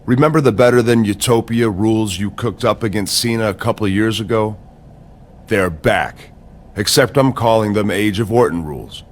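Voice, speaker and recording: A man's voice: on the low side, moderate pace, a little hiss or hum, close to an everyday voice. A man playing a smug, vindictive wrestling general manager, taunting and domineering in a scripted game cutscene.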